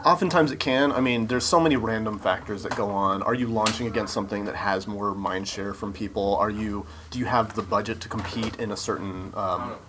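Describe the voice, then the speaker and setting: A man's voice: on the low side, fairly quick, hardly any pauses, background noise. A man giving earnest, explanatory answers as a guest in a casual interview. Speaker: interview guest, video game developer. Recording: crowd chatter around the voice. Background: crowd chatter.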